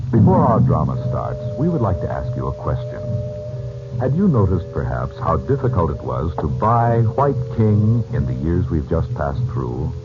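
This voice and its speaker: An American man announcing a 1940s radio serial in a formal, theatrical, measured scripted style. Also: deep voice. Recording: muffled 1940s radio recording, music behind. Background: music.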